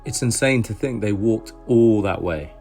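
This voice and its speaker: A man with a low voice tells the story of a safari in an earnest, reflective voice-over. Soft music plays beneath him. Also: lively intonation, normal speed, creaky. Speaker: wildlife photographer and vlogger. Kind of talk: reflective travel narration.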